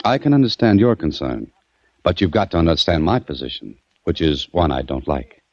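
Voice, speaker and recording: A skeptical, brisk male adventurer from an old radio drama. His deep voice is clipped and sardonic, with lively inflection. The sound is dull and very dry, like a vintage broadcast. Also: dry recording, full character voice. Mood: firm, skeptical. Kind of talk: old-time radio drama acting and narration.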